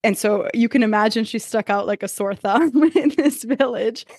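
Laughing, animated woman telling stories. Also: on the high side, normal speed, no room sound. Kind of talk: casual podcast chat.

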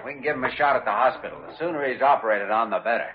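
An actor playing a kindly young American doctor, reassuring and decisive, in an old-time radio drama. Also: male voice, medium pitch, rapid-fire, close to an everyday voice. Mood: grave, clinical. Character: calm, kindly young male hospital doctor at a rescue. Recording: noisy, muffled old radio recording with clicks.

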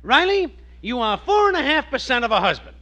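An actor playing a brash, nosy neighbor in an old American radio comedy, with an American accent and slangy, wisecracking delivery. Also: male voice, very high voice, sing-song, fairly quick, pushed and bright, exaggerated voice. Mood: mock-grave. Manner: wisecracking, emphatic, working-class slangy speech. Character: brash nosy male neighbor and pal, know-it-all schemer. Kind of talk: 1940s-50s radio comedy acting.

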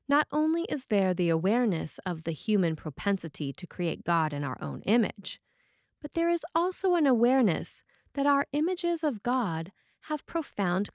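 Woman priest reading a sermon, medium pitch, measured n deliberate, real dry close sound. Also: dry recording. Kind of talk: scripted sermon, read aloud.

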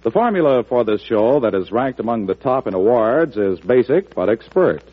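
An American man reading a scripted 1940s radio announcement in a polished, measured way. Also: medium pitch, sing-song. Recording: tinny narrow-band old radio recording.

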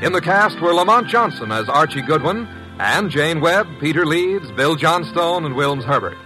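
Old time radio announcer guy, 1950s, scripted and polished, bouncy pitch, kinda high, faint music behind. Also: lively intonation, moderate pace, poor audio. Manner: polished, promotional.

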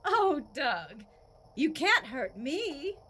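A woman's voice: high voice, sing-song, drawn-out words, bright, forceful tone, exaggerated voice. An actress playing a haughty, cruel female emperor in an audio drama, with an imperious, mocking, theatrical delivery. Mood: taunting. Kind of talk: audio drama villain acting.

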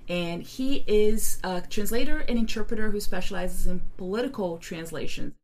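Podcast host, woman, mid-pitched easy voice, light hiss behind her. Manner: relaxed, curious questioning. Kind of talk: casual interview talk.

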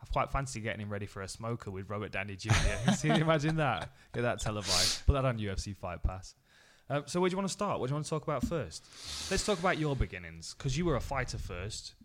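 A man's voice: on the low side, sing-song, a bit bright, a little hiss or hum. A man hosting a casual podcast, asking questions in a joking, easygoing way and laughing often. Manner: jokey, quick, laughs often. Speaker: podcast host.

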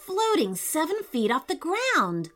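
A spirited, teasing little sister from a storybook , played by a woman, with a high and very lively voice. Her lines come out as squeals and exclamations over music. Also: unhurried, heavily acted. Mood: squealing. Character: spirited young girl, sceptical teasing sister.

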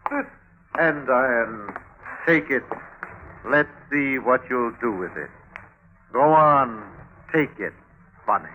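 A man's voice: medium pitch, animated, takes it slowly, pauses often. An actor playing a scornful man who taunts and goads insistently, in old radio drama style. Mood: taunting dare. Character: scornful man goading a timid boaster. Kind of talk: 1950s radio drama acting. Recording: tinny, hissy old radio recording.

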